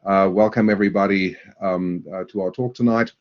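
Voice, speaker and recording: Deep-voiced German man, hesitant lecturer. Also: fairly even intonation. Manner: halting, many fillers and restarts. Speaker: university lecturer presenting a webinar. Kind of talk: webinar presentation. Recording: dull lo-fi webinar audio.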